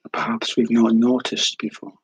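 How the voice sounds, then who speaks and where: Meditation guide, male. Soothing, breathy delivery with very little pitch movement, gentle pauses, intimate dry recording. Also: no echo. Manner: calm, unhurried, soothing, with long pauses. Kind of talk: guided meditation, slow spoken instruction.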